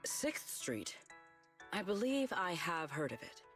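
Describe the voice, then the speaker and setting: Music plays clearly behind a courteous female official in an anime-style game. Her voice sits a little low, and she speaks with formal, measured composure. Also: very animated. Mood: courteous, composed. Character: formal, courteous woman deputy director of air patrol.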